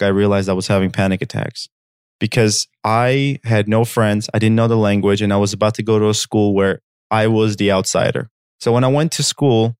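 A man's voice: on the low side, smooth, dry recording, clean studio sound. A man in his thirties from Armenia, a podcast host telling a personal story in an earnest, direct way and checking in with listeners. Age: 30s.

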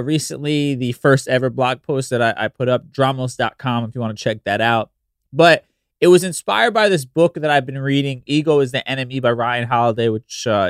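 A man's voice: mid-range voice, clean tone, dry recording, clean studio sound. A man hosting a podcast solo in a casual, meandering, conversational way, sounding slightly nasal and congested from allergies. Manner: conversational, meandering, frequent "you know". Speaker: podcast host.

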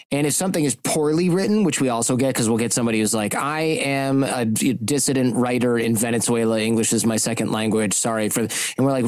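Male podcast host, mid pitch, candid and rambling, recorded dry and close. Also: darkish tone, dry recording. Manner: candid, rambling, lightly profane. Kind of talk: casual chat.

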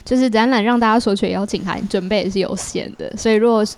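A woman with a medium-pitched voice and a Taiwanese Mandarin accent. She talks as a guest, explaining things informally in an easy conversational style. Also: quick pace, darkish tone. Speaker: guest, artist presenting own work.

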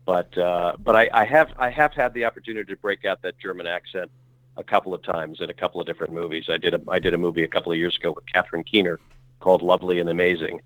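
A middle-aged actor speaking as a podcast guest, telling long stories in a rambling, self-correcting way full of fillers. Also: male voice, deep voice, steady tone, fast, fairly bright tone, no echo, rough recording. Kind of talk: relaxed conversational storytelling.

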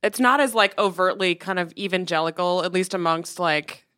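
A woman's voice: lowish voice, normal speed, a bit bright, smooth. A woman from Omaha, Nebraska, chatting casually in a talk show, with many likes and hedges. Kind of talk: casual conversation.

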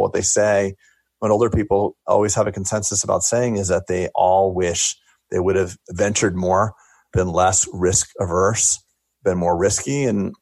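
A talkative man in his forties with a very low voice that has a gravelly, husky edge. He speaks in a relaxed, chatty way, thinking out loud. The sound is close and dry. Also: no echo. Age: 40s. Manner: talkative, reflective, jokey. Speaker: dentist guest. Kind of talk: casual podcast interview.

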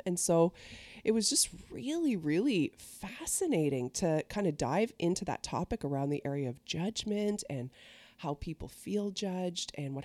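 Lively, mellow-voiced woman podcast host. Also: fairly low pitch, dry recording. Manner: animated storyteller who laughs often. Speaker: podcast host and ordained minister. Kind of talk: scripted intro, then casual chat.

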